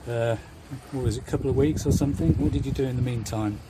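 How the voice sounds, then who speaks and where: Relaxed man vlogging at sea. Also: mid-range voice, fast, heavy background noise. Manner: relaxed, frequent "yeah" backchannels. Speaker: vlogger, yacht delivery crew. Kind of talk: casual vlog narration and interviewing. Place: on deck of a yacht at sea, outdoors.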